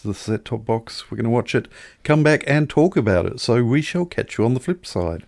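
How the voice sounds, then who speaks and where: A man's voice: mid-range voice, lively intonation, normal speed, vocal fry, darkish tone, no echo, clean studio sound. A man, co-hosting a film review podcast in casual chat with wordy, wry, colourful phrasing. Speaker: podcast co-host reviewing films.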